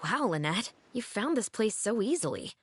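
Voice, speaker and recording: An actress playing a brisk, keen young female patrol officer in a video game, speaking in an articulate, confident, analytical way. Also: medium pitch, sing-song, slightly rough, no room sound, studio quality. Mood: impressed. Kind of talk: scripted video game voice acting.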